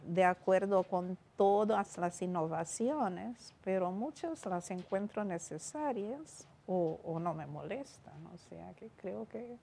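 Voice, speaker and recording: A woman's voice: lowish voice, animated, slow pace, gravelly, hardly any pauses, dark tone, slight background noise. Woman in her seventies. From Romania. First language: Romanian. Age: 70s. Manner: thoughtful, with frequent restarts and gestures. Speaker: guest linguist. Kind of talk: panel conversation. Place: seminar room with bookshelves.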